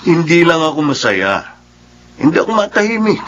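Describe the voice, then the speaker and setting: A man's voice: fairly high pitch, big pitch swings, moderate pace, fairly bright tone, highs cut off. A man playing a stubborn, bitter young man in a Filipino radio drama, speaking curtly and dismissively. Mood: defensive, weary. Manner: curt, dismissive.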